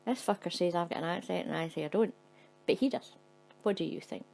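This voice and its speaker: A woman's voice: on the low side, fairly quick, creaky, darkish tone. A woman voicing a foul-mouthed, grumpy Scottish woman tourist with a Scottish accent. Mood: irritated. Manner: swearing, cross and blunt.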